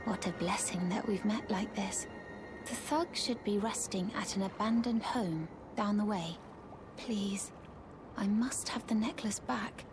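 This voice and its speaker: A woman plays a soft-spoken, courteous servant of a noble house in a fantasy video game. She speaks slowly and formally in a breathy near-whisper. Music plays clearly behind her. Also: mid-range voice, lightly acted. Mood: pleading, gentle. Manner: formal, polite, measured. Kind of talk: video game character acting.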